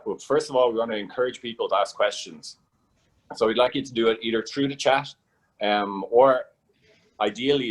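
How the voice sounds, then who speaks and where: Deep-voiced guy hosting a webinar, easygoing chatty intro, on a video call. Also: rough recording. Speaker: webinar host.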